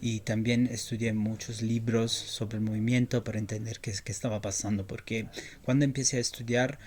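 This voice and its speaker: A young Italian man with an Italian accent speaking non-native Spanish in an interview, pausing often and repeating words as he searches for them. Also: fairly low pitch, flat delivery, a little mellow. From Italy. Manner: halting, many repeated words and fillers. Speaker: interview guest, graduate researcher. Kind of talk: casual interview answers.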